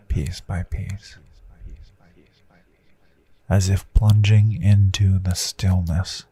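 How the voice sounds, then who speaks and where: A gentle male hypnotist, acted by a man, murmurs a guided meditation in a very husky, breathy voice full of gravelly fry. He is soothing and deliberate, leaving long pauses, with a crisp bright edge to the hush. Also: sits low, exaggerated voice. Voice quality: breathy, often slipping into whisper. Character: gentle male hypnotist Count, calm and reassuring. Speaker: hypnotist, audio host. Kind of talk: slow hushed guided hypnosis meditation, ASMR.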